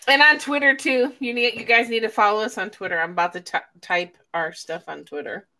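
A woman's voice: fairly high pitch, fairly quick, pushed and bright. A woman from Illinois hosting a casual live chat, a little drunk, loose and laughing, swearing freely. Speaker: host.

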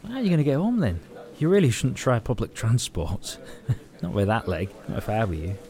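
A concerned, informal questioner in an audio drama. His mellow voice swings widely in pitch as he reassures and asks questions. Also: huge pitch swings, a little fast.

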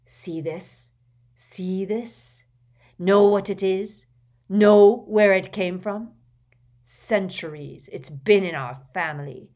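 A woman's voice: fairly low pitch, slow pace. A furious, snobbish middle-aged wizard father played by a woman from a storybook. He bellows and jeers with open contempt, spitting out his words as a domineering bully. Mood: outraged. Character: raging, sneering middle-aged pure-blood wizard father. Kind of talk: dramatised audiobook character voice.

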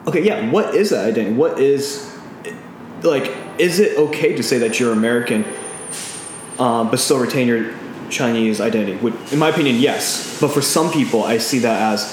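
A man's voice: medium pitch, normal speed. A man co-hosting a casual podcast, retelling a film at length with lots of ums and restarts. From California. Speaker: podcast co-host. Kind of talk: casual chat. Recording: very echoey room with faint noise.

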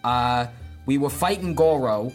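A player in a tabletop roleplay, talking excitably in long rambling runs. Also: mid-range voice, unhurried, soft background music.

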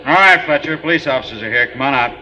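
A man's voice: medium pitch, quick pace. An actor playing a friendly, talkative ship captain in a 1950s radio drama, rambling and hesitant as he speaks. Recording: muffled old radio broadcast with loud hiss.

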